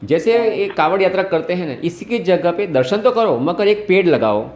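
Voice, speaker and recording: Casting: man, Gujarati accent, slightly high voice, patient step-by-step explainer on a roomy call recording. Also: expressive, moderate pace, darkish tone. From Gujarat, India. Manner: explains step by step with everyday examples. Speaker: host, activist caller. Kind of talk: explanatory phone conversation.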